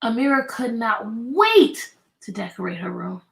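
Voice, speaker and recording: A woman with a somewhat high voice teaches through a picture-book read-aloud. She is expressive and deliberate, and she speaks directly to young listeners to prompt them. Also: vocal fry. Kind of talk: children's read-aloud with questions.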